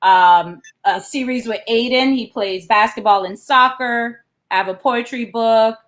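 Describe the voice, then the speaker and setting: A woman's voice: a little high, measured pace, a bit bright. A woman, a children's author, reading aloud and explaining things as an interview guest.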